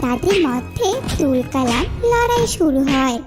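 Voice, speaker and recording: A woman's voice: high-pitched, sing-song, measured pace, over loud music. A woman narrating a scripted animal fable for children as the storyteller. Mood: storytelling.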